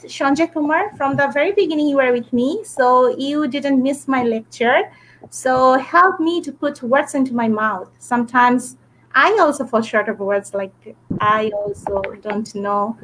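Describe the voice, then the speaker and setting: A woman with a high, light voice leads a live English lesson over a video call. She explains patiently and step by step, with a faint hum of room sound behind her. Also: measured pace, a bit bright, poor audio. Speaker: online English teacher. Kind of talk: live online class.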